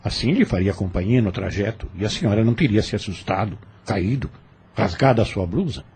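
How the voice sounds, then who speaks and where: An actor with a Brazilian accent playing a sly, falsely deferential plantation overseer in an audio drama, measured and insinuating. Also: male voice, on the low side, animated, a little mellow, a little hiss or hum. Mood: suspicious. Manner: measured, insinuating, mock-courteous.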